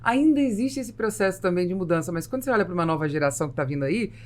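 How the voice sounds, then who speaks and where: A Brazilian woman hosting a business podcast, asking long questions and telling lively anecdotes. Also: on the low side, very fast. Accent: Brazilian Portuguese. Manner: animated, tells anecdotes, asks long questions. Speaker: podcast host.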